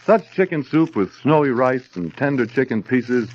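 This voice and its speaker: A man working as a radio announcer, reading a nostalgic 1930s sponsor message in a formal, measured, polished sales manner. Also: medium pitch, animated. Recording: dull, lo-fi vintage radio recording.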